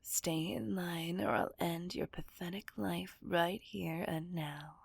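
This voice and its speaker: A menacing female kidnapper , acted by a woman, whispers low and slow in an ASMR villain roleplay. She is possessive and taunting, giving orders in a breathy, crackling hush. The sound is dry and very close to the mic. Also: dry recording, full character voice. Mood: cold, threatening. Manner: slow, commanding, mocking. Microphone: close microphone.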